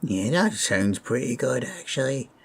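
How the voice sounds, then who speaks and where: A man voicing a small, awkward, shyly affectionate goblin in a fantasy roleplay, hedging and rambling with a raspy voice. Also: medium pitch, lively intonation, a little slow, heavily acted. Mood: sheepish. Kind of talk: fantasy roleplay audio acting.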